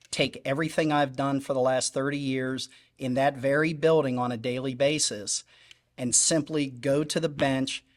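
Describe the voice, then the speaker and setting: Middle-aged guy pitching himself for judge at a public forum, earnest, keeps hammering the same phrases. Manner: earnest, emphatic, repetitive phrasing. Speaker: judicial candidate. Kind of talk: candidate forum statement.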